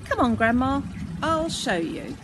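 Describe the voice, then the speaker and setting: An eager young grandchild in a storybook played by a woman, bossy and earnest. The voice sits fairly high and swings in pitch, coaxing and instructing. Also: very animated, unhurried, noisy background. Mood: proud, eager.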